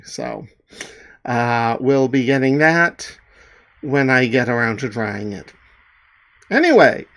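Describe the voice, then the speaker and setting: A middle-aged man from Newfoundland, Canada, talking casually to viewers in a halting, self-deprecating, rambling way. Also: on the high side, a bit bright. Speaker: comic artist and author.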